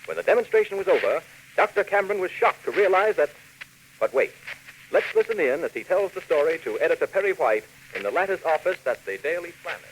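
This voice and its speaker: A man's voice: fairly high pitch, big pitch swings, fast talker, rough recording. A man narrating dramatically as a scripted 1940s radio serial announcer, on a hissy old recording.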